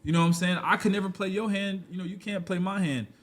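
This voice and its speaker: A man's voice: high voice, fairly even intonation, fast talker. A man in his twenties, a podcast guest, talking loosely and rambling in a casual conversation. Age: 20s. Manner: loose and rambling, full of "you know what I'm saying".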